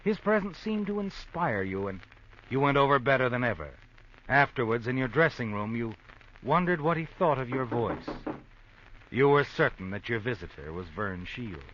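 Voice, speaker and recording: A man's voice: medium pitch, sing-song, fairly bright tone, slight background noise. An actor playing a stern, knowing narrator who tells a woman her own story in dramatic old-time radio style. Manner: deliberate, ominous, knowing. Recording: dull vintage radio recording.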